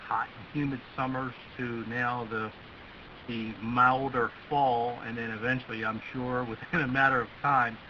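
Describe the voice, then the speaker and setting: An American man talks as a ham radio operator in a casual, wordy way. His mid-pitched voice comes over a muffled radio link with strong hiss behind it. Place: radio voice link, heard from the far end.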